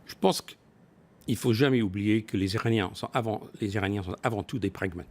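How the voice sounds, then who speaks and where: A man speaking as an expert guest in a broadcast business interview, often pausing to rephrase and repeat his words. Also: on the low side, a little mellow, slight background noise. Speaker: business expert guest, former company executive.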